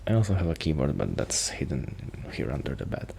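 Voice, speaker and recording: A man playing a very low, soft-spoken shy musician friend. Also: sing-song, a little hiss or hum, heavily acted. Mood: shy, casual. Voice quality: breathy soft voice, often whispering. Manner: hesitant, halting, casual. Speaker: ASMR roleplay performer. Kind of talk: soft-spoken ASMR roleplay, rambling.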